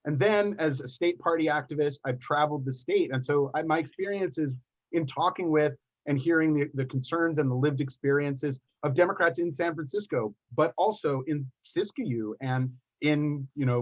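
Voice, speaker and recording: A man's voice: fairly high pitch, lo-fi sound. A man speaking as a party officer candidate at a forum, earnest and candid, with hesitant restarts.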